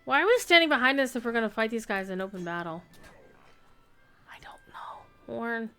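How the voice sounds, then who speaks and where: Bright-voiced woman streaming a game. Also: a little high, sing-song, normal speed, smooth. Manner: chatty, thinks aloud, reads quest text. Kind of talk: casual gameplay commentary. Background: faint game music.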